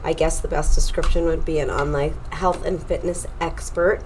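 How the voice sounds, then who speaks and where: A woman's voice: sits low, steady tone, normal speed, darkish tone, slight background noise, poor audio. A woman coach hosting a podcast, chatting casually with a slightly nasal voice, giving friendly tips straight to her listeners. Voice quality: nasal from allergies. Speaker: podcast host, health and fitness coach.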